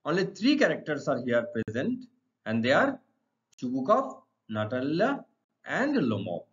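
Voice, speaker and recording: A middle-aged man with an Indian accent, teaching English to students in deliberate, emphatic, repetitive phrases. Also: mid-range voice, huge pitch swings. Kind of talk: exam lesson explained to students. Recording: dull, lo-fi sound.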